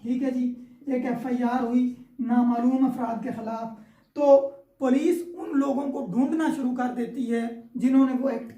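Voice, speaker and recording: Pakistani lady teaching law, high soft-edged mellow voice, keeps repeating points, kinda echoey room. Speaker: law lecturer. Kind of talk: informal explanatory lecture with worked examples. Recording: echoey, roomy sound.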